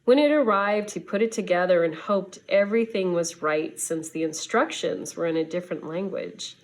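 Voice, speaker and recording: A woman reading a children's story aloud in an expressive, deliberate teacher's manner. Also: fairly low pitch, poor audio. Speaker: schoolteacher reading to students.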